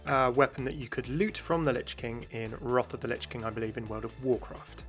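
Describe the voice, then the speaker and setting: A man presents a gaming video as its host. He gives informative, enthusiastic commentary on game lore, in a voice of medium pitch. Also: steady tone, brisk, over music.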